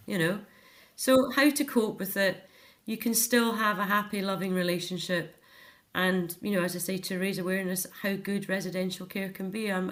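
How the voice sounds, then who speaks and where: A woman's voice: on the low side, fairly bright tone. A middle-aged woman speaking earnestly and emphatically as a guest in a reflective personal interview.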